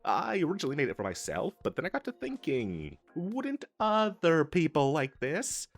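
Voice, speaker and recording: A man's voice: on the high side, wildly swooping pitch, moderate pace, faint music behind, exaggerated voice. A man voicing an eager, boastful wizard salesman in a comedic fantasy audio roleplay, with theatrical emphasis and a stammering, hard-selling manner. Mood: pitching, enthusiastic. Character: eager, boastful male wizard salesman hawking magical wares. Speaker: street vendor character in an audio roleplay.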